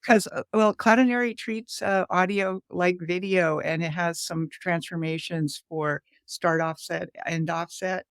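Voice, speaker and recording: A woman in casual tech chat, informal and a little halting as she thinks aloud. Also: on the low side, fairly even intonation, smooth, no echo, clean studio sound. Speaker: educator on a developer livestream.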